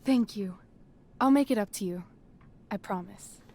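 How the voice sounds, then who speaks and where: A woman playing a poised, persuasive teenage girl in a video game, speaking in an earnest, measured way. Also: medium pitch, a little mellow. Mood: sincere, subdued. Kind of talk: naturalistic video game acting.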